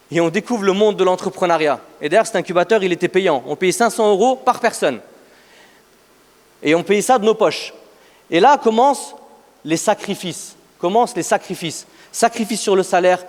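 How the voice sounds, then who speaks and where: A man in his thirties giving a motivational startup pitch on stage, emphatic, repeating phrases for effect as he tells a story. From Afghanistan. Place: large event hall, on stage. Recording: echoey hall with long reverb.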